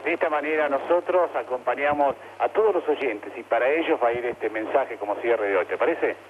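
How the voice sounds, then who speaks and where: A man's voice: mid-range voice, brisk, narrow, tinny audio, background noise. A man with an Argentine Spanish accent speaking formally as a radio announcer over a long-distance link. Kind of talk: formal message read slowly for dictation.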